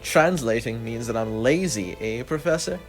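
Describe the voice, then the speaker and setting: Male actor as easygoing young gentleman, wry banter, medium pitch, old-fashioned phrasing, radio play. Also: animated, normal speed, faint music behind, natural voice. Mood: wry, teasing. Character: witty, easygoing young gentleman, scientist's teasing best friend. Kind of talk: dramatic radio play acting.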